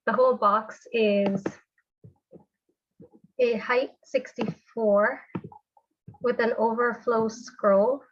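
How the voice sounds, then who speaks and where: Woman, congested cold voice, steady step-by-step tutorial, slightly muffled call audio. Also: on the high side. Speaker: developer demonstrating a technique. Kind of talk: screen-share software tutorial.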